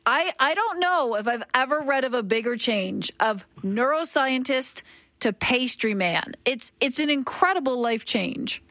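A radio host with a fairly high woman's voice asks her guest animated, leading questions. Her sound is dry and close, with no room echo. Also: measured pace. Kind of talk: radio interview.

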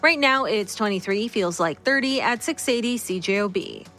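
A woman reads a scripted radio bulletin in broadcast newsreader style. Her pitch is average for a woman, with faint music behind her. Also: expressive, moderate pace, fairly bright tone. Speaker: radio news and weather reader.

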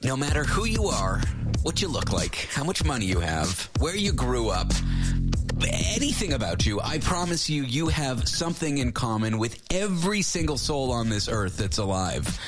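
A man in his late thirties hosts a radio talk show. His pitch leaps around as he jokes and pokes fun at himself. He sounds lively, playful and quick to laugh. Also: over music. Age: 30s. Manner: joking, animated, self-mocking. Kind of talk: casual radio chat.

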